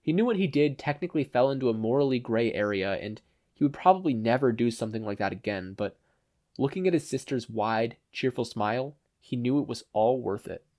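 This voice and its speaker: A man reads fiction aloud in a medium-pitched voice. His delivery is scripted storytelling, in the manner of an amateur audiobook narrator. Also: lively intonation, fairly quick, a little mellow. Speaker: podfic narrator.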